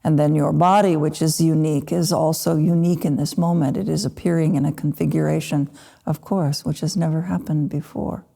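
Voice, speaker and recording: Low, creaky woman guiding meditation. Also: steady tone. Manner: unhurried, gentle pauses between short phrases. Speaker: Buddhist meditation teacher (lama). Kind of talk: guided meditation talk.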